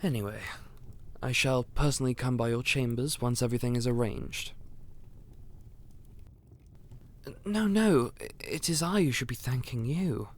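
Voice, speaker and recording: A man playing a courteous, loyal royal aide in a fantasy audio roleplay, speaking formally and with measured courtesy. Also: medium pitch, close to an everyday voice. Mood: gracious.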